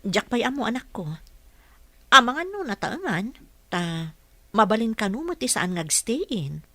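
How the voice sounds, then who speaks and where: An actress playing a gentle, earnest young single mother looking for work in an audio drama, speaking politely. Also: fairly high pitch, sing-song, normal speed, dry recording, full character voice. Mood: tender, reassuring.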